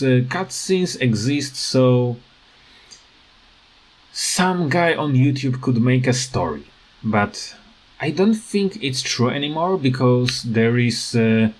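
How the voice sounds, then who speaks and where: Slow, halting man ranting casually. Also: mid-range voice, animated, low-quality recording. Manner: halting, hesitant non-native phrasing. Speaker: gaming video creator. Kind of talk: casual rant over game footage.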